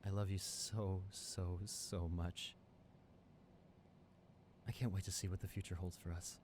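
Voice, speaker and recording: A man's voice: low voice, level tone, measured pace, a little mellow, a little hiss or hum. A man playing a devoted, hopeful college boyfriend in an improvised ASMR roleplay, talking earnestly and affectionately to a partner. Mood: tender, loving. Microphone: close studio condenser microphone.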